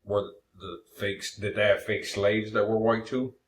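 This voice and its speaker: Low-pitched, dryly teasing man, echoey. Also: little pitch movement, a little slow. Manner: dry, teasing wisecracks. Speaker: comedy podcast co-host. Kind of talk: casual banter. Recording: roomy, echoey podcast sound.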